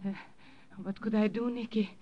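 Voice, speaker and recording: A woman's voice: fairly high pitch, fairly even intonation, normal speed, lightly acted. A woman playing a kindly old German grandmother who runs a bakery, with a German accent, in old radio-drama style. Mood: rueful. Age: older. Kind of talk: 1950s radio drama acting. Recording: muffled old radio recording.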